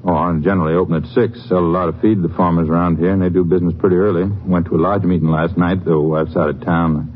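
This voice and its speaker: Low, folksy, evasive rural dealer. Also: male voice, little pitch movement. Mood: evasive, hesitant. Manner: drawn-out, hedging, folksy drawl. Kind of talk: 1950s radio western acting. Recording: tinny, noisy old radio recording, hum.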